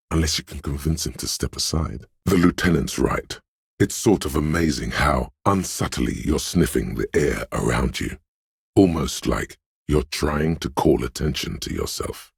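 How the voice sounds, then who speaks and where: An actor voicing a slick, flashy hustler as a video game inner voice, with a very deep gravelly voice and a smooth-talking, theatrical, cocksure manner. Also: male voice, dry recording, studio quality, full character voice. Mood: sly, coaxing, then observant, measured.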